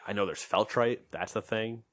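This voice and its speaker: A man's voice: fairly low pitch, normal speed, a bit bright, dry recording, clean studio sound. A man co-hosting a gaming podcast in casual chat, rambling and joking, often trailing off into mumbles. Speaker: podcast co-host.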